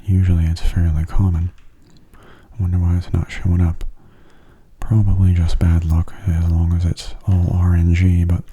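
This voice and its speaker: A man murmurs gameplay commentary in a soft ASMR style. His voice is very mellow and husky, with an even, narrow melody. He stays hushed and often slips into a whisper. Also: low voice, normal speed. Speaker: video game streamer.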